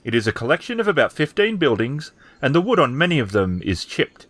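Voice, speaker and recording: A man's voice: mid-range voice, big pitch swings, brisk, fairly bright tone, no echo. A man narrating a tabletop roleplaying game as game master, in a descriptive storytelling style.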